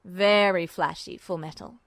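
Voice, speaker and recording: A woman's voice: fairly low pitch, unhurried, gravelly, dry recording, voice pushed down. A woman voicing a smug, unflappable male military officer, dry and teasing as she reads the story aloud. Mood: smug, unruffled. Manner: dry, teasing, calmly commanding. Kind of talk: podfic character voice.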